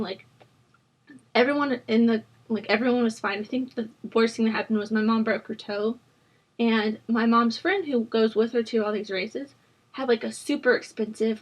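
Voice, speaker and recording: A young woman in her twenties, hoarse from a sore throat, chatting casually and rambling with plenty of ums and likes while she narrates a building game. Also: a little high, a little mellow, poor audio. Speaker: Sims building channel host. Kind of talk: casual voice-over chat during a speed build.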